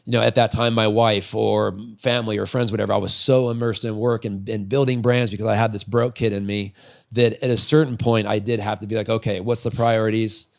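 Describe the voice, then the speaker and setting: A man's voice: on the low side, flat delivery, brisk, gravelly. A man in his forties talking casually as an interview guest, candid and rambling, swearing freely. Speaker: podcast guest, retired freestyle motocross rider and business owner. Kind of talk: casual long-form storytelling in an interview.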